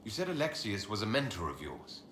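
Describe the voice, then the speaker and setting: Measured fantasy commander, lowish pitch, lively intonation, direct, roomy echo, game dialogue. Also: male voice. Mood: inquiring. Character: level-headed, probing male leader of a fantasy order. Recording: roomy echo, faint ambient noise.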